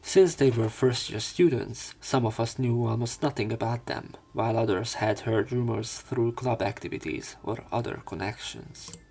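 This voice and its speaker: A man's voice: medium pitch, normal speed, gravelly, few pauses, a little mellow, breathy edge. A man reading a visual novel aloud as a let's player, with casual commentary and joking asides. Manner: joking asides between read passages.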